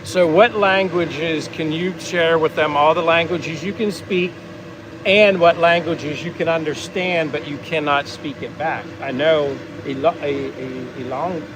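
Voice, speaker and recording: A man's voice: fairly high pitch, fairly even intonation, measured pace, background noise. An American man hosting a casual vlog, talking in a rambling, easygoing way as he reads out viewer comments. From United States. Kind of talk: casual livestream chat.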